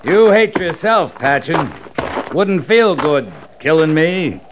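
An actor with an American accent playing a stoic, fearless cavalry captain, terse and plain-spoken, in 1950s Western radio style. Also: male voice, a little high, very animated, slow, lo-fi sound. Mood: grim defiance. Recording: noisy 1950s radio recording.

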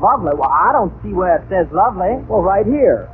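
A man's voice: sits high, sing-song, brisk, slight background noise. A man hosting an old radio variety show with jokey, folksy announcing and banter. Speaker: radio show host introducing singers. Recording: old radio broadcast, narrow tinny sound, music.

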